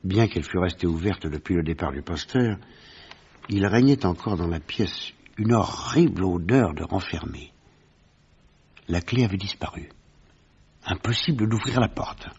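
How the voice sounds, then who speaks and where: An actor playing a gentleman narrator who recounts a detective mystery in formal, vivid French radio storytelling with a husky voice. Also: sits low, muffled recording, full character voice.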